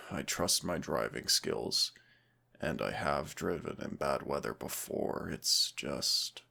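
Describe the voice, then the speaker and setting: Male actor as caring young-love boyfriend for intimate audio roleplay: very low, gravelly voice, tender and reassuring. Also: bright, forceful tone, heavily acted. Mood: worried, tender. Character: affectionate, cautious, playful boyfriend sheltering from a snowstorm. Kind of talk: intimate audio roleplay, one side of a conversation.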